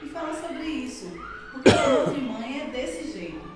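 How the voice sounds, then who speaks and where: A woman's voice: high voice, big pitch swings, normal speed, a crowd in the background. A middle-aged woman from Salvador with a Bahian accent, giving an earnest spiritist lecture that leans on repetition and tag questions. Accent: Bahian Brazilian Portuguese. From Salvador, Bahia, Brazil. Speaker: spiritist speaker. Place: spiritist center hall. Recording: echoey hall from far off, noisy.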